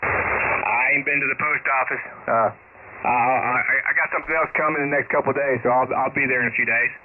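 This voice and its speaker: A laid-back man trades jokes over shortwave radio. His voice comes through thin and phone-like, buried in loud static and clicks. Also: mid-range voice, steady tone, a little fast. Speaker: ham radio caller. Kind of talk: casual on-air radio chat. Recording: narrow shortwave radio signal with heavy noise.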